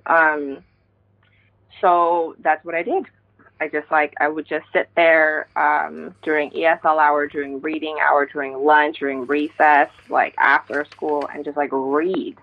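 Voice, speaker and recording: A woman's voice: low-pitched, little pitch movement, unhurried. A poet in a casual interview, a woman from Indonesia, talking reflectively with many fillers and frequent laughs. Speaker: poet, guest. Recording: tinny phone-like line.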